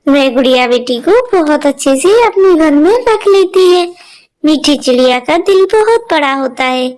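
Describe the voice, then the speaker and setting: Storybook narrator, woman, very high and plain. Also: expressive, moderate pace, darkish tone.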